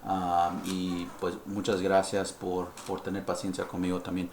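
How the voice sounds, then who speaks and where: A man from California who grew up speaking English, presenting a live-stream dental lesson in halting Spanish that he apologizes for, mixing in English words. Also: deep voice, completely flat delivery, a little mellow, a little hiss or hum. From United States (California). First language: English (heritage Spanish speaker). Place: dental lab. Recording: echoey lab room, faint ambience.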